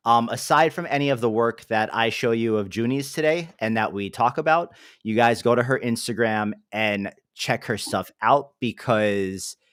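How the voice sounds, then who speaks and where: A man's voice: on the low side, no room sound, clean studio sound. A young man hosting a casual livestream, upbeat and chatty, with lots of fillers. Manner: upbeat, greets chat, filler-heavy. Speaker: livestream host.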